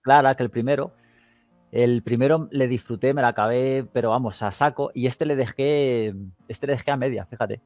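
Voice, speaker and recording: A man's voice: medium pitch, steady tone, moderate pace, a little mellow, no room sound, faint music behind. A man from Spain with a Castilian accent, chatting casually and in slangy colloquial terms as a gaming podcast host. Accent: Castilian Spanish. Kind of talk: casual chat.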